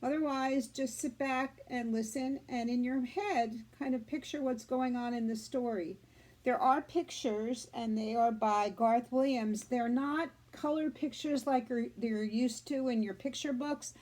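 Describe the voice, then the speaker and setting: A woman with a fairly high voice reads aloud for young children. She explains things simply, in a teacherly way, with deliberate emphasis. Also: fairly even intonation, a little mellow. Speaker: children's story reader, school media specialist.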